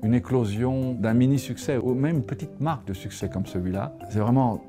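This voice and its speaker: A man's voice: mid-range voice, moderate pace. A man talking unscripted in an interview as a creator of animation. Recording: clear background music under interview.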